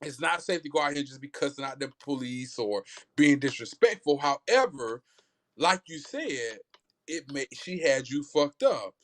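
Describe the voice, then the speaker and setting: Emphatic man with a lively voice. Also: mid-range voice, moderate pace. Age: 30s. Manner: emphatic, repeats words when starting a thought. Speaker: discussion panelist. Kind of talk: heated casual discussion.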